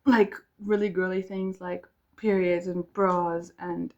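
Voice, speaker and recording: A woman's voice: fairly low pitch, little pitch movement, takes it slowly, highs cut off. A young woman from South Africa whose first language is Afrikaans, chatting casually to camera at home, rambling with many ums and restarts. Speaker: vlogger. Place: home room with music downstairs.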